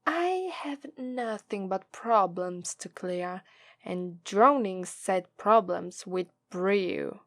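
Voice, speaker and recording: A woman with an Italian accent voicing a gloating, teasing female demon captor in a slow, drawling ASMR roleplay. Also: medium pitch, animated, no echo. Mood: smug, gloating. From Italy. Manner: slow, drawling, taunting, playful menace.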